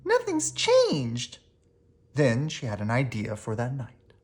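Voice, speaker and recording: A storyteller reading a children's book aloud in an expressive, animated way, leaning on words for dramatic emphasis. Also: medium pitch, normal speed. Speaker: storybook reader.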